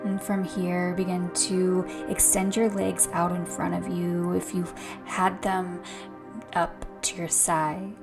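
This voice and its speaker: A woman yoga instructor leading a gentle guided meditation in a soothing, hushed, unhurried way, with a soft voice that turns breathy at times. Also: fairly low pitch, little pitch movement. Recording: soft music behind the voice. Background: music.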